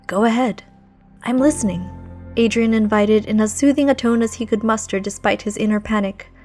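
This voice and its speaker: A woman reading scripted story narration in an expressive, dramatic manner. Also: a little high. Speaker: fan fiction narrator. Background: music behind the reading.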